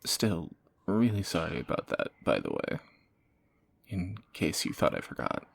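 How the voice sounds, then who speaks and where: A man playing a groggy fantasy assassin in an intimate romantic audio roleplay, husky and halting, with wry understatement. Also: sits low, very animated, no echo, studio quality, exaggerated voice. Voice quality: gravelly, husky morning voice. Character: groggy male fantasy assassin, softening captor, flustered and teasing.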